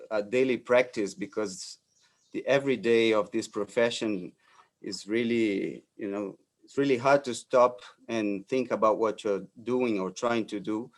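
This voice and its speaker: A Brazilian architect in his forties giving a talk in English as a second language, halting with frequent fillers and restarts. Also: fairly low pitch. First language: Portuguese. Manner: hesitant, many fillers and restarts. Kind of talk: lecture presentation.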